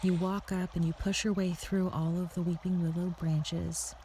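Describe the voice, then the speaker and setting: A woman narrating a guided meditation in a soothing, unhurried, softly spoken way with a creaky voice, leading the listener through an imagined scene. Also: on the low side, flat delivery, slight background noise. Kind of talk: guided meditation, second-person scripted visualization.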